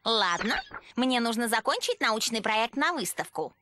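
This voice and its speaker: A woman's voice: a little high, big pitch swings, measured pace, background noise. A woman voicing a smug, bratty teenage schoolgirl inventor in a game dub, talking in a sneering, mocking way. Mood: bossy. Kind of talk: Russian game dub acting.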